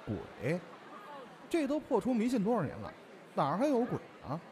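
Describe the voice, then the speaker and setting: A man's voice: sits high, wildly swooping pitch, moderate pace, darkish tone, a faint crowd. An actor playing a young crosstalk straight man in an audio drama, caring but wry and needling. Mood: wry disbelief. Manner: wry, reactive. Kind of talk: audio drama acting with stage patter. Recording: noisy ambience behind the voice.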